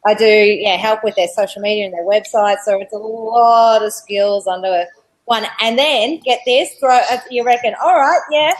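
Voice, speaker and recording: A bubbly Australian woman chatting as a guest, giggling and rambling along. Her voice sits a bit high. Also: steady tone, normal speed, low-quality recording. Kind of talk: casual chat.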